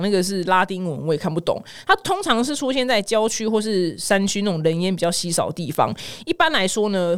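A woman with a Taiwanese Mandarin accent hosting a casual news podcast, exclamatory and dramatic, wandering into personal asides and mixing in English words. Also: fairly low pitch. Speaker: podcast host.

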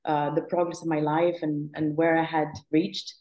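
A woman's voice: deep voice, little pitch movement, normal speed, a little mellow, echoey room. A woman in her thirties from Morocco, a guest telling her story in a conversational interview, reflective and thoughtful, with many fillers and restarts. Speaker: guest, app founder.

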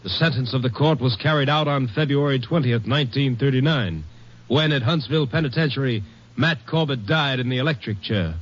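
A man's voice: medium pitch, fairly quick, lightly acted. A man playing a solemn case-file narrator, delivering formal scripted 1950s radio narration. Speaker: announcer. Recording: muffled old radio recording.

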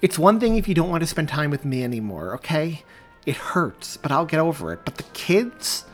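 A man's voice: a little high, very animated, normal speed, soft background music, pitched above the performer's own voice. A man reading aloud as a wife and mother who grows irate and hurt, her voice near breaking. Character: upset woman, wife and mother.

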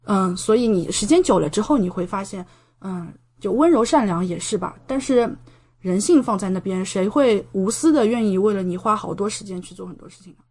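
A woman's voice: mid-range voice, expressive, brisk, darkish tone. Female podcast guest, young adult, mid-range voice, conversational and explanatory delivery. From China, Shanghai area. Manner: chatty, explanatory, gives examples with acted-out dialogue. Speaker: podcast guest, doctoral student in Japan. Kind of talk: casual interview conversation.

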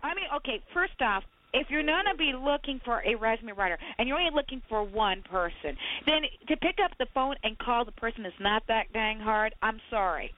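Woman from Barbados, podcast co-host, high and forceful, heard over a thin phone line. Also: brisk, hardly any pauses. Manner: emphatic, animated, ranting. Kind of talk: heated podcast debate. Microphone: phone call.

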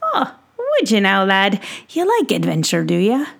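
A woman voicing a jolly, showy male pixie peddler who drags out his salesman patter in a booming voice. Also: wildly swooping pitch, a little slow, vocal fry, exaggerated voice. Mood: teasing.

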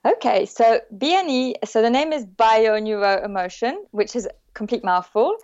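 A woman with a French accent, talking as an interview guest. She sounds warm and laughs easily, pausing on fillers as she thinks. The recording is dry and a little dull, as over a video call. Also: a little high, expressive, dry recording. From born in the UK, raised in the south of France. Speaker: guest, bio-neuroemotion practitioner. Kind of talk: casual interview chat.

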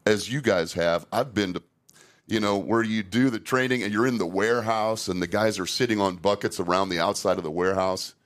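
A middle-aged man with a fairly low voice hosts a relaxed podcast chat. He talks candidly and rambles, often restarting his sentences as he works through his thoughts. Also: a little fast. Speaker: safety trainer and podcast host. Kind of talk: casual podcast conversation.

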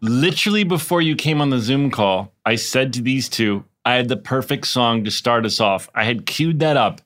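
A man with a mid-pitched voice talks as a podcast co-host on a video call. His delivery is casual and comic, eagerly restarting and jumping in. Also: animated, moderate pace, a bit bright.

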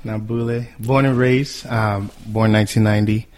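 A young man from Haiti, a guest on a podcast, answering in a relaxed, humble, reflective way. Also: lowish voice, moderate pace. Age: young adult. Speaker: guest, entrepreneur. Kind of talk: interview answers.

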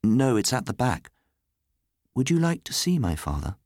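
A man's voice: mid-range voice, big pitch swings, normal speed, darkish tone, no echo, clean studio sound, voice pushed up. A man voicing an earnest, naive little boy who speaks with innocent plainness, in a storybook reading. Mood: innocently matter-of-fact. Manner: matter-of-fact, innocent.

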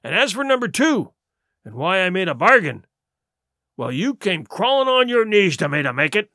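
A man's voice: high-pitched, very animated, moderate pace, pushed and bright, dry recording, studio quality, exaggerated voice. A man voicing a sly, domineering pirate sea cook in an audiobook, with blustering, derisive, rustic seafaring talk. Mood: scornful, boastful. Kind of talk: audiobook character voice.

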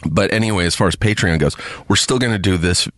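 A man hosting a podcast alone, chatting casually to his listeners in a halting, rambling way with lots of fillers, a bit sniffly from congestion. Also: low voice, a bit bright, dry recording, studio quality. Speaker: podcast host. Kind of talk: casual solo chat to listeners.

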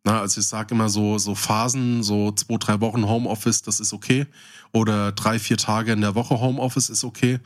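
A man's voice: fairly low pitch, little pitch movement, normal speed. A man with a German accent chatting casually as co-host of a podcast.

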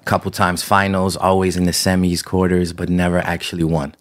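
Low-voiced man raised in Amsterdam. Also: brisk. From Netherlands. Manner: relaxed, loose, frequent fillers. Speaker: guest. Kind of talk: casual interview chat.

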